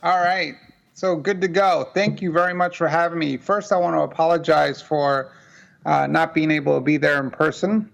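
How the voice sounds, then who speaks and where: A man's voice: on the high side, steady tone, normal speed, creaky, a bit bright. An American man giving an earnest, conversational keynote talk over a video call, with muffled, lo-fi call audio. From United States. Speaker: keynote speaker.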